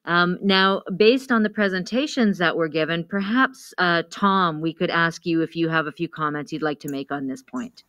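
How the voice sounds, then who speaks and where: A woman's voice: lowish voice, normal speed, hardly any pauses, bright, forceful tone, clear, resonant tone, no echo. A woman moderating a panel, polite and formal as she opens the questions.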